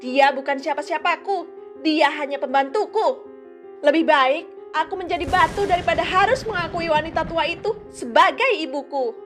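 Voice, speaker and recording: A woman's voice: moderate pace, fairly bright tone, faint music behind. A woman voicing a vain, arrogant young village girl in a children's cartoon, haughty and scornful. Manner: haughty and scornful, high shrill voice.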